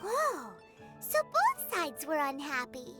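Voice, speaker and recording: A woman voicing a tiny chirpy fairy girl companion who talks about herself in the third person, with a squeaky, childlike voice. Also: huge pitch swings, slow pace, bright, forceful tone, soft background music, exaggerated voice. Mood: inquisitive.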